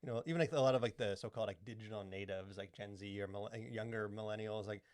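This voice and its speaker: A man's voice: fairly low pitch, quick pace, a little mellow, dry recording, studio quality. A man co-hosting a podcast in casual discussion, rambling along with lots of "like" and "you know". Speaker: podcast co-host. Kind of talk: casual discussion of culture and faith.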